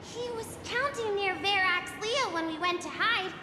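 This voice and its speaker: An actress voicing a bold, excitable little child in a video game, emphatic and boastful. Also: female voice, extremely high pitch, a little slow, background noise. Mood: insistent. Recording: reverberant hall echo, loud music. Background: loud game music.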